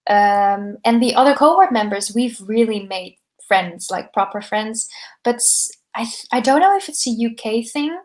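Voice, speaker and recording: Woman, 20s, soft-spoken, podcast guest, slightly high voice, frequent ums. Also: animated, moderate pace. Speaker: startup founder guest. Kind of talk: podcast interview answers. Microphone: podcast microphone.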